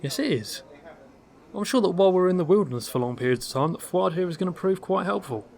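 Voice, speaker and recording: An actor playing a polite, reassuring adventurer who leads interviews in a fantasy audio drama. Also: male voice, high voice, expressive, fast talker, gravelly, a little mellow. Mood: friendly. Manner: polite, diplomatic. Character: courteous, reassuring male adventurer leading interviews.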